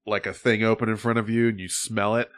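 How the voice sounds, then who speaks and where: A man with a somewhat low voice hosts a podcast in loose, casual chat. He rambles from point to point, swears freely and laughs often. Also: little pitch movement, brisk, a bit bright. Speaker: podcast host.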